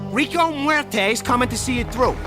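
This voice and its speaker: An actor playing a high-strung, whining mob lieutenant giving nervous orders. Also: male voice, lively intonation, normal speed. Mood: nervous bossy. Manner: jittery, whiny and pushy. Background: music.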